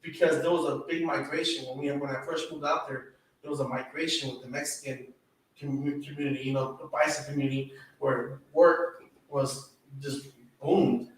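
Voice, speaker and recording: A man's voice: medium pitch, flat delivery, moderate pace, distant mic. A man who grew up around Atlanta, talking casually on a podcast, swearing freely and filling his talk with "you know". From Atlanta, Georgia area, United States. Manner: laid-back, swears freely, peppers speech with "you know". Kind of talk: casual chat. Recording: very echoey room, from off-mic.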